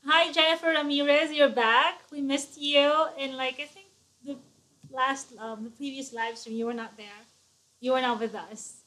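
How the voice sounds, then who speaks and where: A woman's voice: sits high, fairly even intonation, moderate pace, sharp tone, low-quality recording. A woman co-hosting a casual live stream, greeting viewers by name and chatting in an easygoing way full of "like". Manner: friendly, greets viewers by name, uses "like" often.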